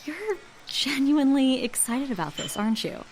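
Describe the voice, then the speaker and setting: A skeptical, teasing diner waitress in an audio drama. Her fairly high voice swings expressively, sardonic on the surface and fond underneath. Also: big pitch swings, normal speed, slight background noise, rough recording. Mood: wry, teasing.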